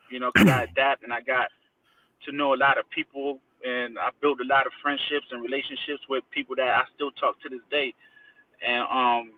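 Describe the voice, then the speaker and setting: Tinny, phone-like man chatting casually. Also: mid-range voice. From Haiti, then Connecticut from age six. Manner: informal, slangy, repeats phrases for emphasis. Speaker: interview guest. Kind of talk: casual chat, personal recollection. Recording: tinny phone-like line, distant from mic.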